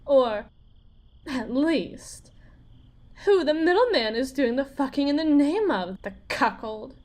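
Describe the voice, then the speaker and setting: A woman playing a desperate, wounded, wry farm wife in an ASMR drama roleplay, with a folksy, sardonic, halting delivery. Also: high voice, animated, darkish tone. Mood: wary, sardonic.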